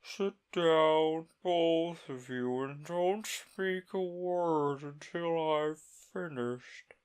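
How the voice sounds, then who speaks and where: A reader voicing a sorrowful, sobbing male Mock Turtle in a storybook reading, with a slow, mournful drawl. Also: mid-range voice, smooth, pitched above the performer's own voice.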